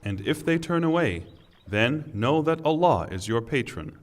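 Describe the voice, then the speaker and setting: A man giving a solemn, scripted reading of translated scripture with formal, deliberate phrasing. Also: mid-range voice, sing-song. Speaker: translation reader.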